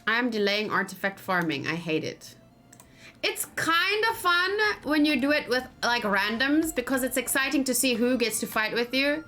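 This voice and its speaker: A woman gaming streamer chatting casually with viewers, thinking out loud as she plays and breaking into animated exclamations. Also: a little high, moderate pace, faint music behind. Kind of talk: casual live game commentary, chatting with viewers.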